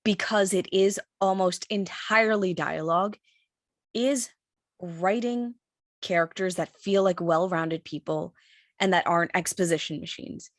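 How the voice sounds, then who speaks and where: A woman giving considered, articulate answers on a panel in a measured way, pausing mid-sentence. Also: fairly low pitch, no room sound, clean studio sound. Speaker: audio drama writer and panelist.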